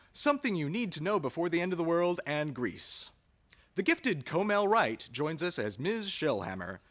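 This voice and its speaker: A man announces a radio show from a script. His voice is bright and on the high side, with animated, showy emphasis.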